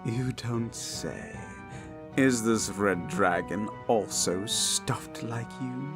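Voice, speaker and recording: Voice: mid-range voice, drawn-out words, over music. A performer voicing a sadistic, mocking incubus who calls himself nightmare incarnate, in a theatrical, sinister ASMR roleplay delivery. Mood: sly, sardonic. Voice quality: breathy whispering, creaky.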